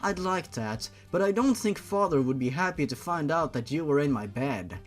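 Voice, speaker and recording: Casting: blunt, irritated young woman played by a man, raised pitch, animated delivery, fan fiction drama. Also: moderate pace, faint music behind. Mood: gentle. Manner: exasperated, blunt. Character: sharp-tongued, irritated young woman, fiercely loyal girlfriend.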